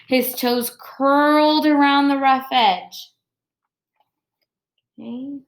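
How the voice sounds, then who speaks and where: A woman's voice: high voice, fairly even intonation, drawn-out words. A woman teaching young students, reading a story aloud and explaining it step by step in an instructional way. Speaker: elementary school teacher. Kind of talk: read-aloud lesson for children.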